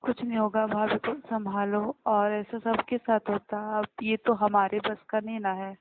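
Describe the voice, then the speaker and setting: A woman's voice: medium pitch, flat delivery, measured pace. A young woman in a casual, recorded phone conversation, speaking plainly and earnestly. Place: phone call. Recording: very noisy phone line with clicks.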